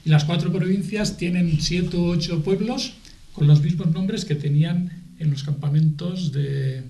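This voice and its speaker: A middle-aged man with an accent from Spain, explaining things in a TV debate in a halting way, repeating and rephrasing as he goes. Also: sits high, creaky, warm tone. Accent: Spanish from Spain. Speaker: guest, aid association spokesman. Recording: echoey room.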